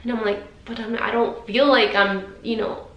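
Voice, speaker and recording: A woman's voice: a little high, fairly even intonation, normal speed, lo-fi sound. A woman in her thirties talking candidly and emotionally in a personal vlog, rambling a little as she shares her feelings. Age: 30s. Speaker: vlogger. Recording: roomy sound with faint noise.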